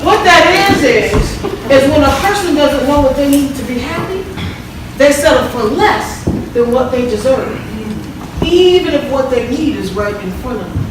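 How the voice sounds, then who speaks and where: A woman's voice: sits high, lively intonation, moderate pace. A woman playing a confident, preachy relationship counselor on stage, emphatic and instructive, with repeated commands. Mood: instructive, firm. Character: confident, preachy female relationship counselor with a self-help book. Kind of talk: live stage-play acting. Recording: echoey theater hall, distant mic, noisy.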